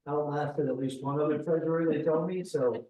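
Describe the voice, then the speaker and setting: A man of about sixty, the town treasurer, giving a halting, self-deprecating report with fillers and restarts. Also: a little high, fairly even intonation, lo-fi sound. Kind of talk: meeting report, casual. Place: town meeting room. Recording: very echoey room, voice far from mic.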